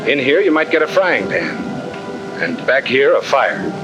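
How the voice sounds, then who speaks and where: Blunt army sergeant, 1950 training film. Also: male voice, medium pitch, big pitch swings, moderate pace, music in the background, poor audio. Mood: matter-of-fact. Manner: blunt, slangy, wisecracking. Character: brisk, tough-talking army sergeant instructor. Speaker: instructor.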